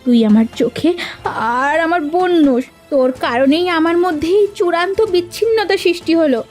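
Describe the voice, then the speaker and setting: A woman's voice: very high-pitched, moderate pace, a little mellow, faint music behind. A woman voicing a proud elder sister who runs a village kitchen, speaking in a stern, accusing way in a cartoon drama. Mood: angry, accusing. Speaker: voice actor.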